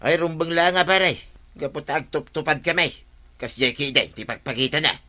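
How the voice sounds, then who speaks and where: A gossipy village pal in an Ilocano radio comedy. His voice is highish and piercingly bright, grumbling along in an Ilocano accent. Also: normal speed.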